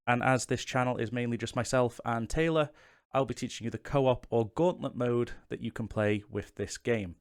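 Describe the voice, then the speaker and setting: Guy doing a casual how to play video, chatty, normal pitch, pokes fun at himself. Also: normal speed. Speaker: host of a board game tutorial channel.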